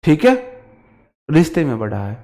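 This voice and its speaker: A man's voice: fairly high pitch, very animated, moderate pace, warm tone. A man with an Indian accent teaching a grammar lesson informally, drilling points by repetition and addressing students familiarly. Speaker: English grammar teacher for exam candidates. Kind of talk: informal classroom-style grammar lesson, switching between Hindi and English.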